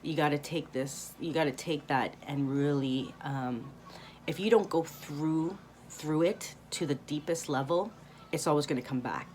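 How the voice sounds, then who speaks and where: A woman in her late thirties talking candidly in a rambling spiritual vlog monologue, with many pauses and fillers and some swearing. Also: deep voice, darkish tone, a little hiss or hum. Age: 30s. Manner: rambling, candid, frequent ums and profanity. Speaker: spiritual vlogger.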